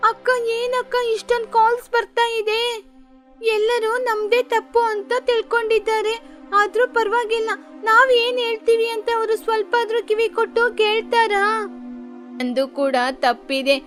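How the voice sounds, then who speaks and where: A woman's voice: extremely high pitch, steady tone, measured pace. Woman voicing a hot-tempered young bird. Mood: remorseful. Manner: sharp-tongued, quarrelsome. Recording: faint music behind.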